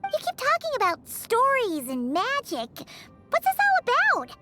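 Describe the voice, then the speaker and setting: A tiny floating fairy companion from a fantasy game, extremely high and squeaky. She is childish, chirpy and quick to get impatient, with very swoopy yet unhurried speech. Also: huge pitch swings, sharp tone, exaggerated voice. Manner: squeaky, childish, refers to herself in third person. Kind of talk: video game voice acting. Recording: music behind the voice.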